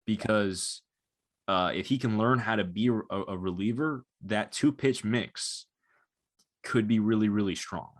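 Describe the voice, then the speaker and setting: Young guy on a sports podcast, lowish voice, casual analysis chat. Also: a little slow. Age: 20s.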